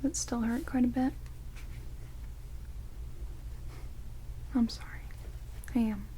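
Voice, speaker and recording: A woman playing an ancient, quirky vampire in an ASMR roleplay, speaking in halting, hushed whispers and soft murmurs. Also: fairly high pitch, fairly even intonation, slight background noise, lightly acted, rough recording. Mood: gently caring. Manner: hushed, rambling, halting, drawn-out words. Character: ancient vampire, quirky, blunt yet caring, bandaging wounds. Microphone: close binaural microphones. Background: heavy rain.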